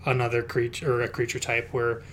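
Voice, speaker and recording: Mid-pitched male podcast co-host; easygoing, filler-heavy chat. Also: monotone, fairly quick, a bit bright, slight background noise. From Utah. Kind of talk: casual chat.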